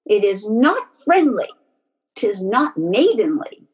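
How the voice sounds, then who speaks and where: A woman playing a wronged, pleading young gentlewoman in an amateur Shakespeare verse table read. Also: medium pitch, very animated, slow pace, slightly rough, exaggerated voice. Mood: wounded, pleading. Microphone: video call. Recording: dull, lo-fi video call.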